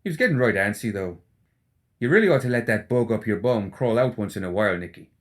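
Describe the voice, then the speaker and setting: A man voicing a cocky, teasing gentleman rogue necromancer in an audiobook, with folksy, slangy, mocking talk. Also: lowish voice, expressive, quick pace, lightly acted. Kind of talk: audiobook character acting.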